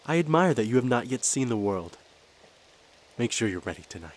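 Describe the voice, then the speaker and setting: An actor playing a curt, brooding and stern vampire hunter in a radio drama. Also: male voice, medium pitch, big pitch swings, a little fast, lightly acted.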